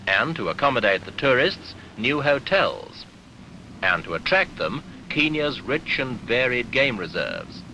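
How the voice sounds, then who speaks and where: A man narrating a 1970s documentary newsreel in a formal, measured, authoritative way, on an archival soundtrack. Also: mid-range voice, very animated, pushed and bright, slight background noise, rough recording.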